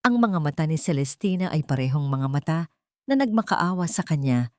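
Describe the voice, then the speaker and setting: A Filipino woman narrates a dramatic story in a fairly low voice. The recording is dry and close, with very little room around it. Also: expressive, a little fast, no echo.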